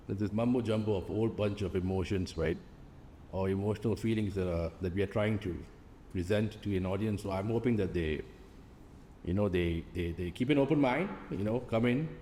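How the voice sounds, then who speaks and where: A man in a casual cast interview, talking haltingly and repeating words as he thinks. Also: lowish voice, mellow. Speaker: stage play cast member. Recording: echoey hall, faint background noise.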